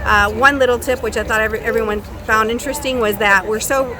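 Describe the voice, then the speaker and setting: A middle-aged woman from Puerto Rico, an attorney, speaking plainly and earnestly, with pauses and fillers. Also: on the high side, steady tone. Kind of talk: rally speech and interview. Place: outdoor street rally. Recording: outdoor rally with street noise.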